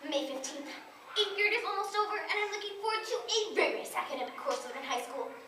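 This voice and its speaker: A woman performs an earnest, nerdy little boy in a stage comedy, reciting a diary with childish emphasis. The voice is high and very animated, caught from a distance in an echoey room. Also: fast talker, exaggerated voice. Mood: nerdy, excitable. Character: earnest, dramatic little boy growing into a nerdy eighth grader. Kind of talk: stage duo interpretation at a speech showcase. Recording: echoey, distant camera, faint room noise.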